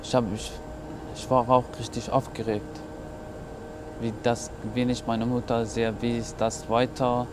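Young man, halting German. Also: mid-range voice, completely flat delivery, noisy background. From Afghanistan. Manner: halting, simple German with restarts. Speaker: documentary subject. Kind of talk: personal testimony.

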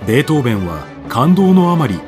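A male narrator reads a radio drama solemnly over prominent music. His mid-pitched voice moves through wide, dramatic swings in a declamatory style. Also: big pitch swings, fast. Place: studio voice-over with music behind.

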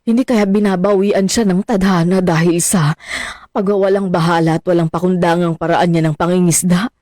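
A woman's voice: on the low side, steady tone, a little fast, mellow, no echo, clean studio sound, lightly acted. A woman acting a troubled, anxious middle-aged mother in a radio drama.

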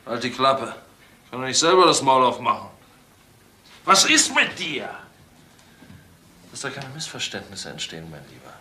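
A man's voice: mid-range voice, sing-song, moderate pace, long pauses, bright, forceful tone. An actor playing a brutal, domineering middle-aged ex-convict father in a crime radio play, taunting and coarse. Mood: menacing contempt. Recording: roomy radio play with faint noise.

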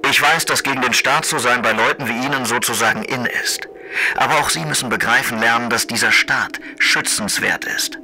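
Sharp, menacing federal prosecutor. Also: male voice, mid-range voice, a little fast, a little hiss or hum, low-quality recording. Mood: stern, lecturing. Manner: officious, admonishing, formal. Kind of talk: German radio play acting.

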